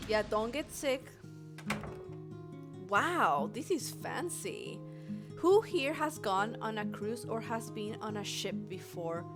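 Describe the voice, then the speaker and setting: A woman's voice: on the high side, big pitch swings, takes it slowly. A woman live streaming a visual novel, chatting casually and playfully with her viewers. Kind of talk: casual livestream chat mixed with reading game text aloud. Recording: music playing behind the voice.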